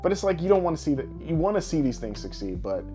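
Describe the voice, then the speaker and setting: A man's voice: a little high, very animated, quick pace, warm tone, faint music behind. A man co-hosting a podcast in casual, blunt, slangy chat with plenty of swearing. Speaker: podcast co-host. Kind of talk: casual podcast chat about games and movies.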